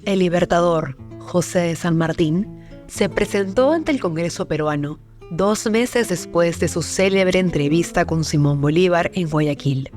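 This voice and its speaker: A woman's voice: lowish voice, measured pace, darkish tone, soft background music. A woman reading scripted historical documentary narration in a formal style.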